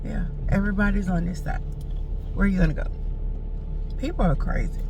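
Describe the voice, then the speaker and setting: A woman's voice: medium pitch, a little fast, a little mellow, far from the mic, slight background noise. A woman, chatting casually and sassily to her viewers as a vlogger. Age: 40s. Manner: chatty, sassy, talks straight to viewers. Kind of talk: casual storytelling chat. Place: in a car.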